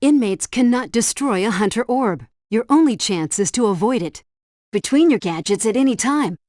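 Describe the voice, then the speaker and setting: A woman plays a helpful female helpdesk guide in a video game. She delivers scripted tutorial instructions with precise, instructive diction at a medium pitch. The sound is close and dry. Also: darkish tone, no echo, natural voice.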